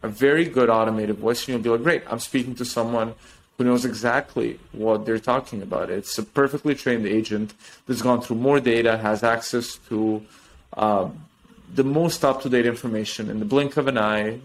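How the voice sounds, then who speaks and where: Animated man explaining conversational tech. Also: mid-range voice, moderate pace, rough recording. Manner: animated, explanatory, trails off and restarts. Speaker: tech company guest. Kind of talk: podcast interview.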